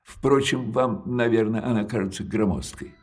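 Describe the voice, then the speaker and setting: An actor playing an elderly, widowed male junk-shop owner in a radio drama. He talks slowly and hesitantly, and his sentences trail off softly between pauses. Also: on the low side, breathy edge. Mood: hospitable. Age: older.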